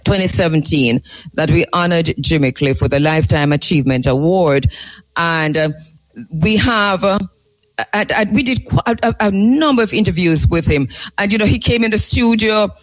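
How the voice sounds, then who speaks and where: A man hosting a conversational live radio talk, speaking reflectively and haltingly, repeating words for emphasis. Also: high-pitched, poor audio. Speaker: radio host.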